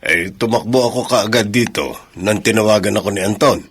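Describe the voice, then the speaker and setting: A man playing a kindly, supportive older father in a radio drama, with a husky voice. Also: lowish voice, a little fast, a bit bright.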